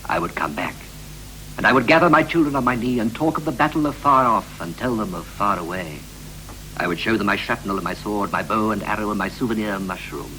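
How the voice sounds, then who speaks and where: Wistful husband in old radio play, lowish voice, poetic, bit of hum and hiss. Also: male voice, animated, pushed and bright, rough recording. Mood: brooding. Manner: lyrical, measured, dramatic delivery. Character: wistful married man reflecting on war, youth, ageing. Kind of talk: 1960s radio play acting, poetic monologue. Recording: hissy, humming 1960s radio recording.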